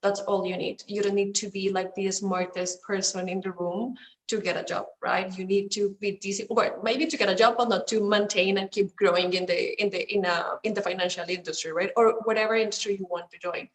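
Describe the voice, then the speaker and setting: A woman's voice: mid-range voice, level tone, fairly quick, echoey room, low-quality recording. A woman speaking as a finance panelist on a video call, giving firm advice in short emphatic statements.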